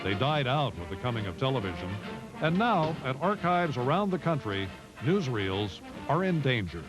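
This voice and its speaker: A man's voice: medium pitch, very animated, normal speed, low-quality recording. An American man narrates a documentary from a script. His voice sits in a middle register, and music plays strongly behind him. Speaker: narrator and reporter. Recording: loud music bed behind the voice.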